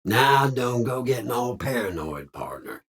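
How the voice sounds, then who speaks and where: A man playing a jovial, slyly evasive cowboy robot in a video game, with a husky Southern American drawl and folksy slang. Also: mid-range voice, sing-song, a little slow, a bit bright, exaggerated voice. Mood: low, wry. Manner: folksy slang, theatrical swooping delivery. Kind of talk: video game character dialogue.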